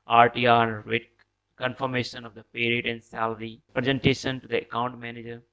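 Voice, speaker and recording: A man's voice: mid-range voice, monotone, fairly quick, creaky, fairly bright tone, smooth, rough recording. A man presenting a training lesson as scripted instructional narration, reading points step by step with short directives.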